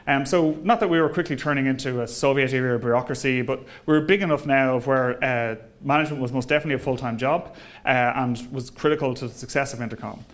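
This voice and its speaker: Guy doing a casual work talk to an audience, mid pitched voice, lots of ums and stop-start bits. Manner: hesitant, conversational delivery with frequent ums and pauses. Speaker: engineering manager speaking at a company event. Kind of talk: informal presentation to an audience.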